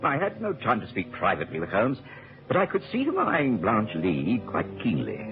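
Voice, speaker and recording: An earnest physician narrating a radio mystery. His slightly low voice rises and falls dramatically. The recording is narrow and phone-like, with faint music. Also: huge pitch swings, moderate pace. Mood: earnest storytelling. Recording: tinny old radio recording.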